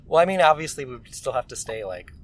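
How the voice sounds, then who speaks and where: A man's voice: medium pitch, big pitch swings, fast talker. Hesitant American man over noticeable background noise. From California, United States. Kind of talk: casual chat.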